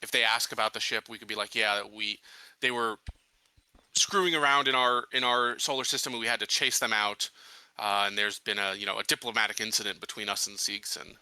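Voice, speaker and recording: A man playing a tabletop roleplaying game, laying out plans casually in a deliberate, halting way. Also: lowish voice, steady tone, pushed and bright, smooth, no echo, rough recording. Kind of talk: casual in-character planning talk.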